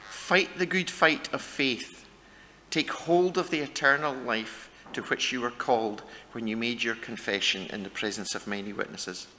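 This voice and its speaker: A man speaks at a church service, reading and giving a short devotional. His voice is very bright and forceful at a middling pitch, with long reverb from the hall. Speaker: church member leading reading and prayer. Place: stage of a church hall, indoors.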